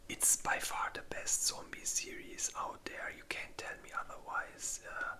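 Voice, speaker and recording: A breathy, unvoiced whisper in a casual ASMR style, hesitant and informal, giving opinions close to the microphone. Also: very high voice, bright, forceful tone. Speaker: ASMR creator reviewing zombie movies.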